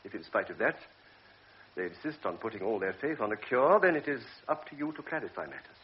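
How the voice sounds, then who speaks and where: An actor playing a grave older doctor, speaking in a measured, lecturing way in a radio drama. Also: male voice, on the low side, very animated. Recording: dull old radio recording with faint hiss.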